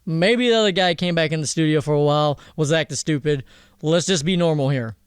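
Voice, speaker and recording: A man presenting a casual software tutorial in a slangy, jokey, irreverent way, speaking close to a studio microphone. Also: on the high side, brisk, smooth, dry recording.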